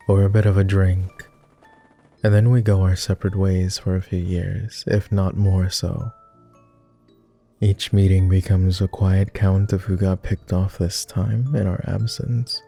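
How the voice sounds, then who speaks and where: A man playing a lonely, gentle vampire who speaks formally and haltingly in an intimate ASMR roleplay. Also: sits low. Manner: formal, old-fashioned wording with halting, hushed delivery. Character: clingy lonely male vampire, courtly, gentle, easily flustered.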